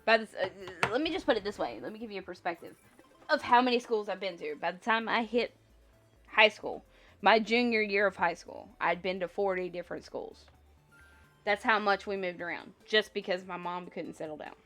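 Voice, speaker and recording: A woman's voice: mid-range voice, normal speed, fairly bright tone. Congested woman chatting casually on a livestream. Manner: rambling, conversational, thinking aloud. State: slightly congested with a lingering cough. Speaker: livestream host. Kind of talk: casual livestream chat, answering viewers. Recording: music behind the voice. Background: music.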